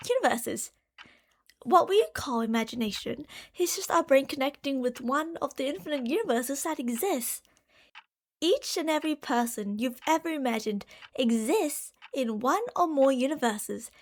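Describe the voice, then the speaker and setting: A woman's voice: high voice, lively intonation, moderate pace. A woman playing an obsessive, lovestruck stalker from a parallel universe in an ASMR roleplay, coaxing and explaining to the listener with fond pet names and theatrical emphasis. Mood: eager, explanatory.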